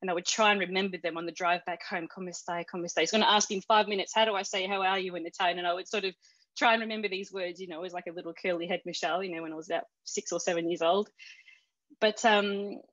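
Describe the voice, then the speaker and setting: A woman's voice: fairly low pitch, fairly even intonation, fast, a bit bright, dry recording, lo-fi sound. An Australian woman telling a personal story in a casual interview, chatty, with many fillers and restarts. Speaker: interview guest.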